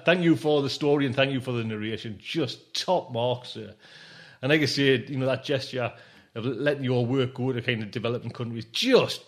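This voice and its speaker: A man hosting a podcast, chatting casually and effusively, often repeating and restarting his phrases. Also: medium pitch. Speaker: podcast host. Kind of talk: casual, rambling sign-off chat.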